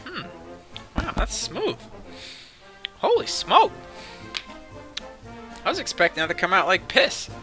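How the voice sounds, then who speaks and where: A man's voice: high voice, wildly swooping pitch, slow, long pauses, sharp tone, faint music behind, low-quality recording. A man casually commentating on a game, rambling, sarcastic and profane.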